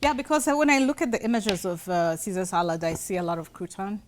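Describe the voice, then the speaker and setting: A woman's voice: medium pitch, a little fast, a little hiss or hum. A woman from western Uganda, hosting a casual cooking-show chat and eagerly asking lots of short questions. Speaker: host.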